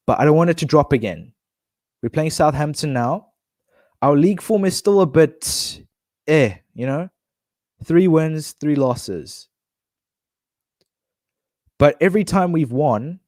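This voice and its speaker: A man's voice: medium pitch, darkish tone, no room sound, studio quality. A man streaming a football manager game, talking casually and rambling with restarts, his nose blocked. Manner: chatty, rambling, many restarts and fillers. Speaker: gaming streamer.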